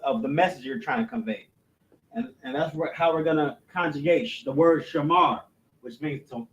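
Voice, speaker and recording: A man's voice: a little high, highs cut off. A man giving an informal class, explaining step by step, often stumbling and restarting and checking in with his listeners. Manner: loose and repetitive, stumbles and restarts, keeps asking "you know what I'm saying". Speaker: religious language instructor.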